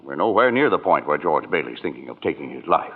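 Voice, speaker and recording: Senior angel: low, authoritative, musing storyteller for radio drama, narrow vintage broadcast sound. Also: male voice, big pitch swings, fast talker, exaggerated voice. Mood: firm, musing. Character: heavenly superintendent angel, patient storyteller in charge. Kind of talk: old-time radio drama narration. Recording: band-limited old radio broadcast recording.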